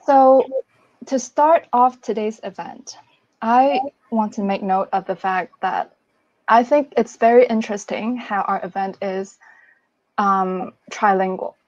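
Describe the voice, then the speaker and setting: Female novelist-moderator, medium pitch, introducing panelists over muffled online audio. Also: a little slow, darkish tone, dry recording. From Hong Kong. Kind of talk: semi-scripted panel introduction. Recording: dull, lo-fi online call audio.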